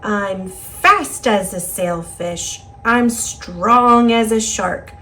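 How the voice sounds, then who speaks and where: A woman's voice: medium pitch, drawn-out words, fairly bright tone. A woman voicing an earnest, anxious male fish from a picture book in emphatic, singsong rhyme. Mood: boastful, scared. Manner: emphatic, singsong rhyming declarations. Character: earnest, anxious male cartoon fish, brave but afraid.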